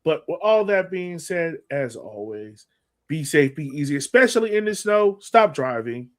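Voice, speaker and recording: A man's voice: on the high side, sing-song, moderate pace. Slangy man hosting a podcast. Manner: slangy, informal. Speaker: podcast host. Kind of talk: casual soccer podcast chat.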